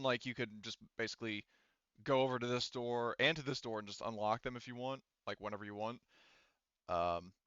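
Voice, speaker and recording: Man, game master, bright crisp voice, close dry sound, casual joking narration. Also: medium pitch, no room sound, highs cut off. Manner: informal, joking.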